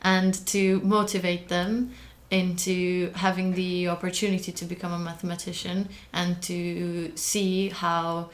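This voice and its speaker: An earnest young woman, a Hungarian native speaker, talks as a podcast guest in a low, level voice. She goes carefully and stops often with small fillers while she looks for the right word. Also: flat delivery, measured pace, few pauses. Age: young adult. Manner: careful, earnest, with frequent hesitations. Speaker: guest, early career mathematician. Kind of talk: podcast interview.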